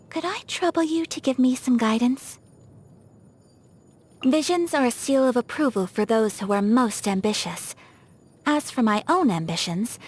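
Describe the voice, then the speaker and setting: Game role, female actor: young noblewoman of a samurai clan, higher register, refined, soft-spoken, politely formal, over background music. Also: lightly acted. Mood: polite, inviting, then reflective, wistful. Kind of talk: scripted video game character voice lines. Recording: music behind the voice.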